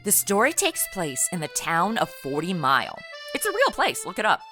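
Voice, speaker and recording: A woman's voice: on the low side, wildly swooping pitch, normal speed, music in the background. A woman hosting and narrating a comedy audio drama in a cheeky, sarcastic, conversational manner. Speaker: comedy podcast host and narrator.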